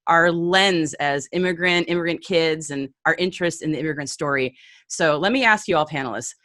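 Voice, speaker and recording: A woman with a notably low voice hosts a panel over a video call, cheerful and encouraging as she hands the floor around. The sound is close and dry. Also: pushed and bright, no echo. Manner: upbeat, quick thanks, light laughs. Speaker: panel moderator. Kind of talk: moderating a panel.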